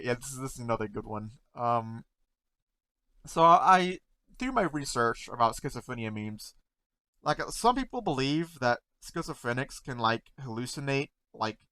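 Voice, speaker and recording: A man in casual talk to camera, halting and stuttering, repeating words and often trailing off softly, close to the mic at home. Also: mid-range voice, clean tone, no echo. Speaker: content creator reacting to memes.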